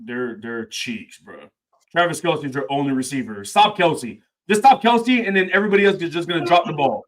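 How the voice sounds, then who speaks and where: A man co-hosting a casual podcast, talking sports and debate in an animated way and saying "bro" a lot. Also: on the high side, moderate pace, rough recording. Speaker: podcast co-host.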